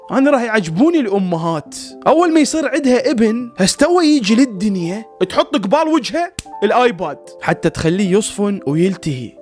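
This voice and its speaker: High-pitched, fast-talking Iraqi man. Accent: Iraqi Arabic. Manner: chiding, big-brotherly, rhetorical questions. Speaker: storyteller and online content creator. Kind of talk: casual comedic chat in a cartoon.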